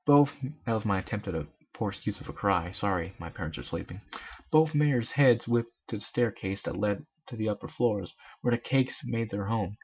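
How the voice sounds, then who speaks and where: A man's voice: lowish voice, moderate pace, husky. A man narrating a story as an amateur reader, with joking asides, on a dull lo-fi home recording. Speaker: amateur fan fiction reader.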